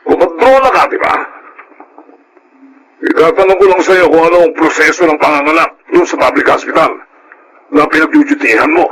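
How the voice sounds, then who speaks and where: A man's voice: a little high, fairly quick, long pauses, a bit bright, slight background noise, low-quality recording. An actor playing a callous, hot-tempered middle-aged Filipino father in a radio drama, scornful and boastful. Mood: irritated, demanding.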